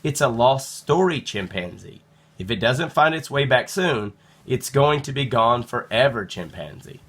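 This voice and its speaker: A man voicing a brisk, knowing woman head librarian who talks in an instructive, matter-of-fact way in a children's storybook. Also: mid-range voice, animated, fairly bright tone, breathy edge. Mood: grave, explanatory. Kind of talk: children's storybook read-aloud.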